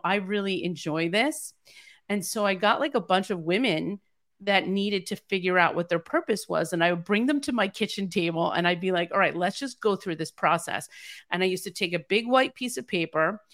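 Low-voiced woman telling her story. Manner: animated, acts out quoted dialogue. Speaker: podcast guest, leadership coach. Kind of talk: personal storytelling in conversation.

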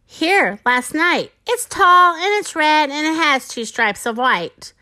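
Woman voicing an eager, high-pitched storybook cat. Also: animated, takes it slowly, bright, forceful tone, full character voice. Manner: exclamatory, commanding, rhyming delivery. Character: eager, bossy male storybook cat leading night adventures.

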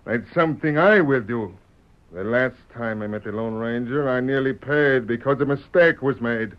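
A man's voice: medium pitch, close to an everyday voice. Smug outlaw mastermind played by a man, muffled broadcast. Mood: cold, determined. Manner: deliberate, smug, scheming. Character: cunning, confident masked outlaw leader, smugly plotting villain. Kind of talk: old-time radio western acting. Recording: muffled old radio broadcast.

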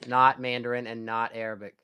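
A man's voice: mid-range voice, flat delivery, fairly quick, pushed and bright, clear, resonant tone. A young man co-hosting a podcast, chatting casually in a rambling, sarcastic way with frequent restarts. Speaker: podcast co-host. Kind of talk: casual chat.

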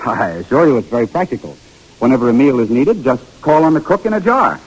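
An actor as a determined young inventor. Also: male voice, medium pitch, big pitch swings, fast talker, breathy edge, low-quality recording. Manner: earnest, persuasive, slightly stammering. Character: determined young male inventor narrating his tale. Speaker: narrator and lead character. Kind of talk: 1940s radio drama acting. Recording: old radio broadcast with music.

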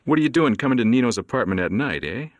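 A man's voice: mid-range voice, very animated, fast talker, dry recording, dull audio, voice pushed up, lightly acted. A man doing a brash street-smart guy. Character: street-smart male singer, brash friend.

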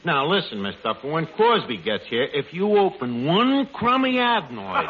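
An actor playing a brash, slangy New bar manager who wisecracks and mangles big words in 1940s radio comedy style. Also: male voice, fairly high pitch, sing-song, moderate pace. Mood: exasperated. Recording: dull, noisy old radio recording.

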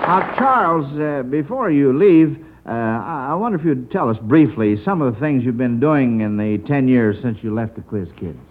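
Man, vintage radio quizmaster, very lively pitch, genial, hesitant phrasing, tinny narrowband broadcast. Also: normal speed, few pauses. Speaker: quiz show host. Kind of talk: live 1950 radio quiz show hosting.

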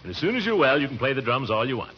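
An actor playing a fussy, affectionate middle-aged uncle in a theatrical, blustery 1940s radio comedy style. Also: male voice, mid-range voice, very animated, very fast, lightly acted. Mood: doting, reassuring. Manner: blustery, theatrical, with swooping exclamations and chuckles. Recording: muffled, noisy vintage radio recording.

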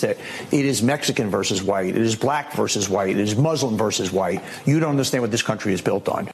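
A man with a low, gravelly voice speaks with forceful indignation. It sounds like a TV clip with faint noise in the background. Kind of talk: televised political commentary.

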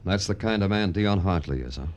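An actor playing a weary, wry New York police detective in old radio noir, with deadpan, brooding delivery. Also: male voice, sits low, fairly quick, muffled recording. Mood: deadpan, guarded. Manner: terse deadpan dialogue, brooding poetic narration. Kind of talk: 1950s radio noir acting and narration.

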